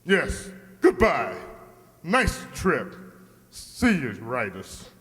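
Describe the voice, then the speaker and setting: A man's voice: medium pitch, huge pitch swings, drawn-out words, fairly bright tone, exaggerated voice. A man playing a haughty, temperamental portrait painter with sardonic humor in a comic radio play, imperious and pompous. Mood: haughty, dismissive. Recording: reverberant hall stage recording.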